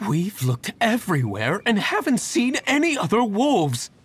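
A man's voice: sits high, wildly swooping pitch, a little slow, breathy edge, heavily acted. An actor playing an indignant, accusing village man in a fantasy game, theatrical and scornful. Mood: startled.

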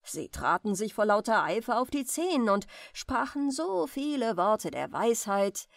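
Slow, gentle woman reading bedtime tales. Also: fairly high pitch, creaky, no echo, studio quality. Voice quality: soft. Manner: expressive, unhurried storytelling. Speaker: audiobook narrator.

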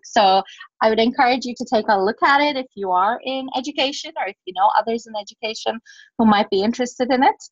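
A woman's voice: fairly high pitch, dry recording. Woman, Turkish native speaker, lecturer, dry close sound, muffled lo-fi call audio. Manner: explanatory, fillers and self-corrections. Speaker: guest speaker, professor. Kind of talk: webinar talk and Q&A.

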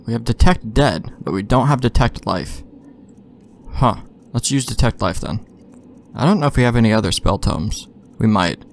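A man's voice: fairly low pitch, creaky. Casual man with noise behind him. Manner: informal, mildly profane, thinking aloud. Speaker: let's play host. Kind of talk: casual gameplay commentary.

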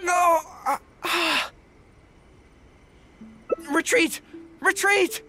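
Boyish anime game warrior with dog ears, earnest, dramatic, flusters easily, music behind. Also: female voice, extremely high pitch, drawn-out words, bright, forceful tone, heavily acted. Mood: panicked defiance.

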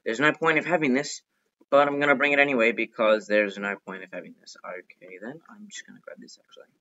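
A male gaming host with a mid-pitched voice narrates his play in an excitable, chatty way. He talks to himself and repeats short phrases over and over. The microphone sounds dull and lo-fi. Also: fast. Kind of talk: excitable gameplay commentary.

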